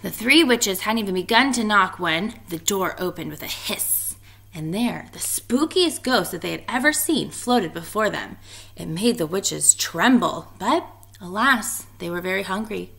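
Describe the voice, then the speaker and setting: A woman reading a storybook aloud in an expressive narrating style. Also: medium pitch, a little slow, vocal fry. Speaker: storybook reader.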